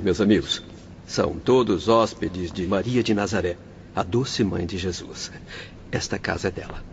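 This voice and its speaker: A kindly Brazilian attendant soothes in a lowish voice. The radio drama sounds muffled, with faint noise. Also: male voice, darkish tone. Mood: reassuring. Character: gentle, caring male attendant at a celestial hospital.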